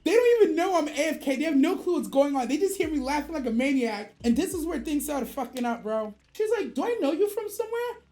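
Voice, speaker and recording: A woman's voice: high voice, big pitch swings, fast, few pauses, a little mellow. A woman streamer telling a casual story in profane slang, acting out both sides of the dialogue.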